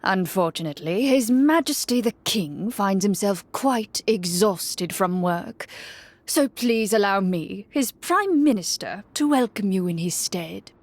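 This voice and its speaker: A woman voicing a smooth, scheming male prime minister in a read-aloud game story, speaking with slow, drawn-out courtesy. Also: mid-range voice, no echo.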